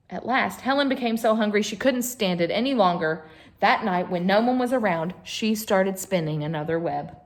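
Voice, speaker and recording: Woman, children's storyteller, picture-book read-aloud, unhurried pace, lively pitch swings, chatty expressive manner. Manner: expressive, with chatty asides to listeners.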